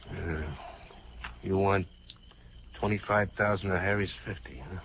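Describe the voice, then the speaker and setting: A muffled vintage radio recording with clear noise carries a tough American hoodlum. His voice is low and edgy. He talks in blunt underworld slang, in 1940s crime-serial style. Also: steady tone, a little slow. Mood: wary. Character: tough, edgy male small-time crook.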